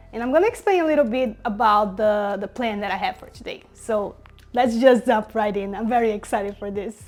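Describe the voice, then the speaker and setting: Young brazilian woman talking thru her design project, upbeat, lots of ums, kinda high voice. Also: darkish tone. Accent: Brazilian Portuguese. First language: Portuguese. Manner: chatty, upbeat, many fillers and little laughs. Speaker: designer vlogger. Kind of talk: casual talk to camera while working.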